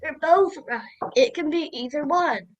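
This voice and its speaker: A young woman in an amateur radio play, playing a gruff but playful old grandfather. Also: high-pitched, measured pace, rough recording. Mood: patient, nostalgic. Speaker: student radio play performer.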